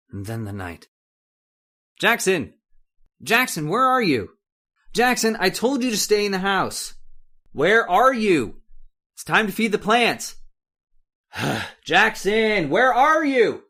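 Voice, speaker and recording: A man's voice: high-pitched, big pitch swings, slow, long pauses, bright, forceful tone, full character voice. A man playing an irritable, scornful older husband haunted by memory, impatient and muttering, in old-time radio horror style. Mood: brooding, haunted, then calling out angrily. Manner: impatient, snapping, muttering broodingly.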